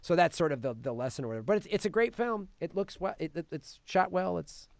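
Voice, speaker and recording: A man with a slightly high voice hosts a casual film podcast in a dry studio. He retells things in an animated, sweary way and slips into acted-out quotes. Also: fast talker, creaky, dry recording. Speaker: podcast host reviewing films. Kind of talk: casual film review chat.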